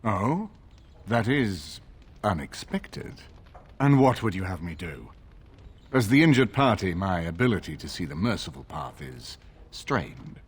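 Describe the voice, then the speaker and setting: Fantasy game lord: older, stern and formal, low register, slightly husky, widely inflected. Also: male voice, very animated, full character voice. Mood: stern, judging. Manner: formal, measured, authoritative. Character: stern, grave older nobleman of a fantasy land. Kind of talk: video game dialogue acting.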